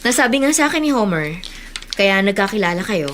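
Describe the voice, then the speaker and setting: A woman's voice: medium pitch, big pitch swings, brisk, a bit bright, slight background noise. An actress playing a troubled lady employer in a Tagalog radio drama. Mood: composed, probing. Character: troubled lady of the house, employer with a painful past.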